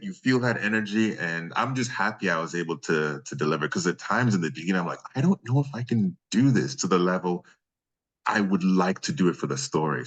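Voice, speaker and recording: A man with a very deep voice speaks as a guest in a relaxed interview. He is a voice actor who gives long, winding answers, generous with his appreciation. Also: husky. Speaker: freelance voice actor being interviewed. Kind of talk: casual interview chat.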